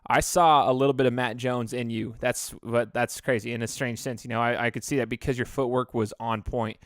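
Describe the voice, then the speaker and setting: A man's voice: medium pitch, steady tone, a little fast, dry recording. Man, young, podcast host, rambling, dry close mic. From Idaho. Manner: chatty, rambling with restarts. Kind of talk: casual interview.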